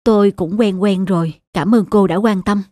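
A woman's voice: mid-range voice, fairly even intonation, moderate pace, no room sound, clean studio sound, natural voice. A woman voicing a humble, kindly middle-aged street cleaner in a cartoon drama, polite and apologetic. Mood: grateful, polite. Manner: polite, apologetic, soft-mannered. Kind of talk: cartoon moral-drama dubbing.

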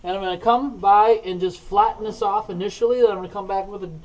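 A man's voice: high voice, steady tone, fast, low-quality recording. A man giving casual, plain-spoken how-to commentary as he works on auto body repair. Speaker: DIY auto body repair video maker. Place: indoors in a garage, working inside a car.

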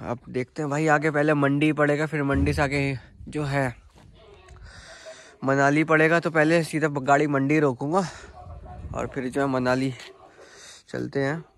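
A man's voice: fairly high pitch, flat delivery, measured pace, pauses often. A young man chatting casually to viewers during a night road trip, filmed on a phone inside his car. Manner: chatty, addresses viewers as bhai. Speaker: car vlogger.

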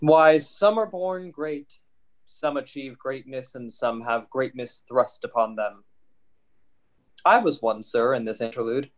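Jester for a Shakespeare comedy, played by a man: cheeky, quick-witted, middle-register voice. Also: mid-range voice, close to an everyday voice. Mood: mocking. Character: impudent witty fool in a Shakespeare comedy. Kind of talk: live table read of Shakespearean verse drama. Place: home kitchen, video call.

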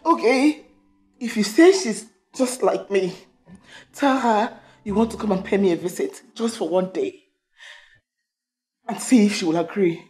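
A woman's voice: medium pitch, measured pace, long pauses, darkish tone, natural voice. A woman playing a possessive, emotional mother in a melodrama, pleading insistently with her grown son. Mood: challenging. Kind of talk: melodramatic screen acting.

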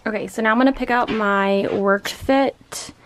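A woman's voice: fairly high pitch, normal speed. A young woman chatting casually and informally in short thinking-aloud phrases, as a lifestyle vlogger filming her day at home. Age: young adult. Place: apartment kitchen and rooms, indoors. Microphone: camera mic a few feet away. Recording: roomy, distant, noisy camera audio.

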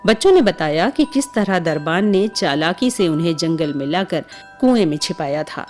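A woman's voice: lowish voice, lively intonation, moderate pace, a little mellow. A woman narrating a scripted bedtime story for children as the storyteller. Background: music with chimes.

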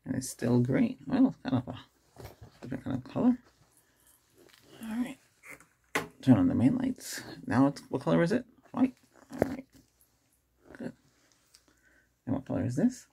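Middle-aged man, soft ASMR exam roleplay, gravelly and breathy, unhurried, mid pitch with lively movement. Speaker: ASMR performer playing an ER doctor examining a patient. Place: small indoor setup with cloth backdrop.

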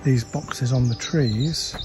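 A man with a relaxed, chatty manner, narrating a tour of a campsite as he walks, recorded outdoors on a handheld camera. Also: mid-range voice, takes it slowly, over music. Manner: relaxed, chatty, guiding with frequent fillers. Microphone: handheld camera, filming while walking.

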